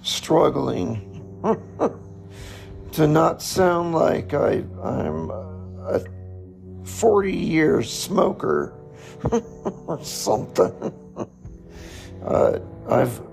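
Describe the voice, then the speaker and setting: Hoarse, gravelly man talking slowly. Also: fairly high pitch, very animated, darkish tone, faint music behind. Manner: slow, halting, pausing to reflect. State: hoarse, raspy voice. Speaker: podcast host. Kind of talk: solo reflective podcast monologue.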